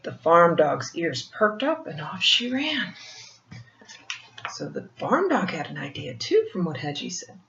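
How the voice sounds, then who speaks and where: An older woman reads a picture book aloud like a grandmother, pausing for gentle explanations and questions to the child. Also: lowish voice, very animated, vocal fry. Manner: gentle teacherly asides, asking the child questions. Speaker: grandmother storyteller reading to children. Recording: muffled, roomy home recording with faint noise.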